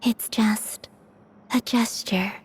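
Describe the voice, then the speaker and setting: A woman voicing a gentle, frail moon maiden in a video game, honest and quietly resolute, speaking in a soft, breathy, measured way. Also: on the high side, steady tone, whispered. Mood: earnest, gentle. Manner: measured, earnest delivery.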